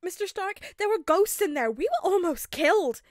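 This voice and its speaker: A woman voicing an excitable, cheeky teenage boy vlogger who speaks in breathless, stammering exclamations. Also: very high-pitched, moderate pace, no echo, studio quality, voice pushed up. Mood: indignant.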